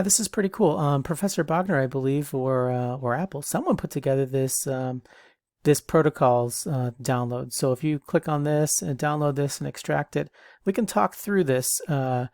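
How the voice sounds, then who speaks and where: A man's voice: fairly high pitch, moderate pace, a little mellow, dry recording, studio quality. A man in his thirties giving a casual online lecture as an instructor, rambling with many ums and restarts, a bit stuffy from allergies.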